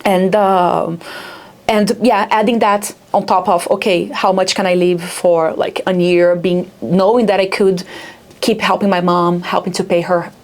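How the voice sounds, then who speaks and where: A woman's voice: fairly low pitch, little pitch movement, measured pace. A young adult woman from Rio de Janeiro with a Brazilian accent, speaking as a startup founder in a casual interview, with lots of fillers like "like" and "yeah". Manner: chatty, many fillers like "like" and "yeah".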